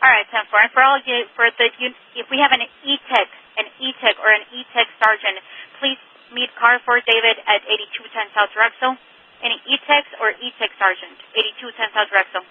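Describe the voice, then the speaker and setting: An American woman working as a police dispatcher over two-way radio, briskly and procedurally relaying unit numbers and codes. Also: on the high side, steady tone. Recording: tinny, very noisy police radio channel.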